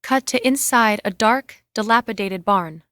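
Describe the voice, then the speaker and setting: A woman's voice: a little high, unhurried, fairly bright tone, no room sound, clean studio sound. A woman reading scene and camera directions as scripted narration.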